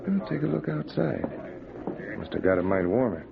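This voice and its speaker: A man playing a folksy, easygoing saloon keeper with a gravelly backwoods drawl in an old-time radio adventure. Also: medium pitch, sing-song, moderate pace. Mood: cautious. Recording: muffled, crackly old radio broadcast recording.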